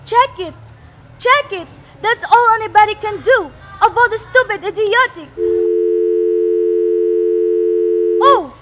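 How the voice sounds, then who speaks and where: A woman's voice: very high voice, normal speed. A woman playing a nervous, demanding bedridden wife in an amateur radio play, fretful and melodramatic. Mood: hysterical. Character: nervous, demanding bedridden invalid wife, shrill and self-pitying.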